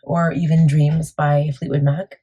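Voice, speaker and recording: Beauty vlogger, female, deep and husky, warm mellow tone, chatty and meandering. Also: little pitch movement, unhurried. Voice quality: gravelly, deep. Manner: chatty, rambling, many fillers. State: morning voice, a bit crackly. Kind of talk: casual makeup tutorial chat.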